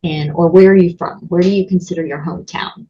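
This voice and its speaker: A woman's voice: fairly low pitch, steady tone, brisk, warm tone, slightly rough, lo-fi sound. A woman college instructor giving a casual walkthrough of her course, chatty and full of ums and restarts. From Connecticut, USA. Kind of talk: screen-recorded course walkthrough.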